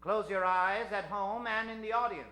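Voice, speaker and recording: A man's voice: sits high, moderate pace, bright, forceful tone, pitched below the performer's own voice. A man playing a bombastic, theatrical old-time radio announcer on a live superhero comedy serial. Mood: grandiose. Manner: theatrical, emphatic, grandiose. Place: theater stage before a studio audience. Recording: roomy live stage with music.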